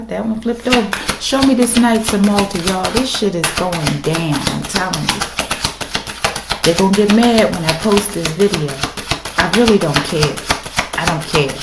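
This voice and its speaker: A woman with a raspy voice doing a casual tarot reading for her viewers, speaking emphatically and often checking in with "okay?". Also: mid-range voice. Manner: emphatic, repeats "okay?" to the audience. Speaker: tarot reader. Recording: echoey room with faint noise.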